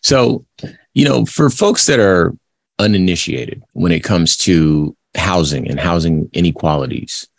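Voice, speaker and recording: Male interview host, very low gravelly voice, dry close sound, effusive and rambling. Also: measured pace, no room sound. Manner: effusive, loose phrasing with fillers and repeats. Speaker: podcast host. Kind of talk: conversational interview.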